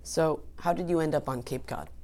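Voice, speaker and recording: A woman conducting an oral history interview, asking plain, measured questions. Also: low-pitched, dark tone.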